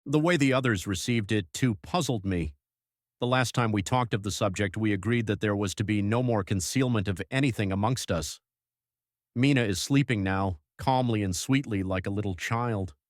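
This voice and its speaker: A man voices a troubled young husband reading out his journal. His low voice is grave and measured, in the reflective style of a gothic audiobook, recorded very dry. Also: no room sound, natural voice. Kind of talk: dramatised audiobook narration.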